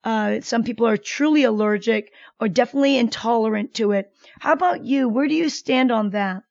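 A woman with a high voice hosts a radio wellness show as a doctor. She speaks in a measured, informative way. The sound is dry and a little muffled. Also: gravelly, darkish tone, dry recording. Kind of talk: radio interview.

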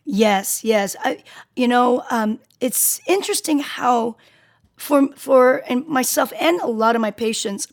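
A middle-aged woman with a raspy voice, hosting a radio interview in a chatty, conversational way. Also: fairly high pitch, unhurried. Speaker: radio show host. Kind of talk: casual interview chat.

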